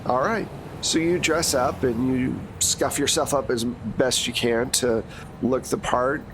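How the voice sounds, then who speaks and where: A man narrating as game master of a tabletop game, describing scenes in a relaxed way with frequent fillers. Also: mid-range voice, a little slow. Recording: noisy background.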